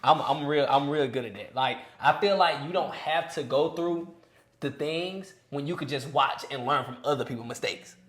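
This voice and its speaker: A man's voice: a little high, moderate pace, a bit bright. A young man in his twenties chatting on a podcast with a slightly raised voice. His talk is loose and earnest, full of "bro" and "you feel me." The room around him rings with echo. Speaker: podcast speaker. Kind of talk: casual podcast chat. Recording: echoey room.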